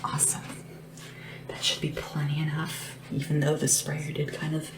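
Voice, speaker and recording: A woman playing a gentle, devoted young sleep assistant in a fantasy ASMR roleplay, hushed and breathy, soothing and attentive. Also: low voice, completely flat delivery, far from the mic. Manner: soothing, attentive, earnest, unhurried. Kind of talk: soft-spoken and whispered ASMR roleplay.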